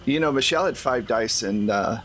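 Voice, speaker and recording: A man's voice: mid-range voice, fairly quick, fairly bright tone. A man narrating an improvised tabletop game as game master, with a playful, teasing way of talking. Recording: faint background noise.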